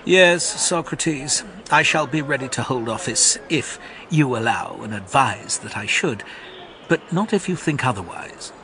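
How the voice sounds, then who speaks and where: A man's voice: medium pitch, expressive, measured pace, sharp tone, slight background noise, low-quality recording. A man voicing Menexenus, an earnest, deferential young Athenian, in a dramatized audiobook. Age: young adult.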